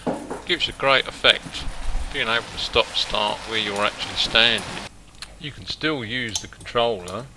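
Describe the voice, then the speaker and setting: A man narrating a hobby product demonstration step by step, in a plain-spoken, deliberate way with hesitant pauses. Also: lowish voice, noisy background. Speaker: hobbyist presenter demonstrating a model train radio control.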